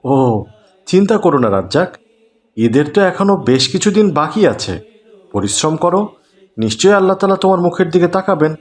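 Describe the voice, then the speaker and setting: A man playing a kindly, pious, generous gentleman in a cartoon, speaking politely and reassuringly. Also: high voice, big pitch swings, a little fast, pauses often. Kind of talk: cartoon voice acting.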